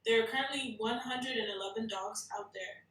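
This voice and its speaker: Bright, distant woman, acting blunt and businesslike. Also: fairly high pitch, brisk, close to an everyday voice. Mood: businesslike briefing. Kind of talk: screenplay table read. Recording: echoey room, off the mic.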